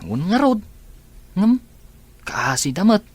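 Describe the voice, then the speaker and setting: A woman playing a troubled teenage high school girl in a radio drama, talking in a soft, hesitant way. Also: very animated, slow pace, slightly rough, no echo, exaggerated voice. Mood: earnest. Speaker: lead character. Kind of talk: Ilocano radio drama acting.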